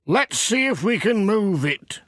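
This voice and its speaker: A man's voice: high-pitched, sing-song, unhurried, fairly bright tone, no room sound, clean studio sound, voice pushed up, heavily acted. A man voicing a hearty, helpful farmer in a children's storybook, talking in a matter-of-fact way. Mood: hearty, busy.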